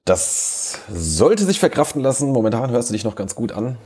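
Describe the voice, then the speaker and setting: A man hosts a casual fan podcast over a remote call. He talks in a chatty, joking way and often restarts his sentences. His voice is mellow and somewhat husky. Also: medium pitch, very animated, moderate pace. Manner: chatty, self-interrupting, joking. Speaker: podcast host.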